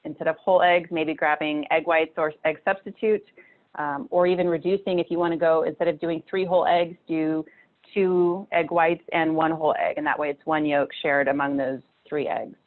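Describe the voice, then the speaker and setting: A woman in her thirties with a low voice talks through nutrition slides in a relaxed, chatty way, full of fillers and restarts. She speaks into a webcam from a small room at home. Her audio comes through a narrow, tinny video call line. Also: normal speed. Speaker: nutrition presenter. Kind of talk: casual slide-based nutrition talk.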